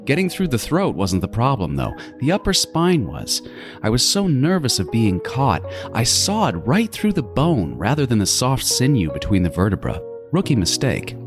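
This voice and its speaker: A man's voice: medium pitch, huge pitch swings, music in the background. A man playing a meticulous, gloating serial killer in a horror audio drama, savoring his words in a theatrical monologue. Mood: gleefully instructive. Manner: theatrical, savoring, deliberate phrasing with sudden swings.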